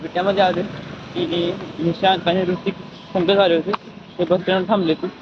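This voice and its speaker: A young man with a rural western Maharashtra accent and a fairly high, even voice. He rambles casually to his vlog viewers, often correcting himself. The sound is dull, with clear background noise. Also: a little fast. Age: young adult. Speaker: vlogger. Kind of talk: casual daily vlog chat.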